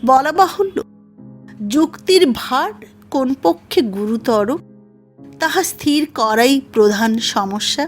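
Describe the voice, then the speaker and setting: High voiced lady reading a formal essay audiobook, really slow n measured, music behind. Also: expressive. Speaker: audiobook narrator. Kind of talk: scripted essay narration.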